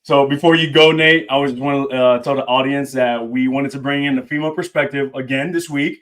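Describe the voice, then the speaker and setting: A man co-hosting a casual podcast, talking sports and debate in an animated way and saying "bro" a lot. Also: mid-range voice, fairly quick. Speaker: podcast co-host.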